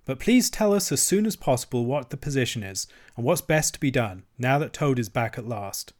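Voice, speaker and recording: A man's voice: mid-range voice, expressive, fairly quick, a little mellow, close to an everyday voice. A man voicing a polite, anxious, sensible storybook rat with an earnest, reasoning manner. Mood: anxious pleading.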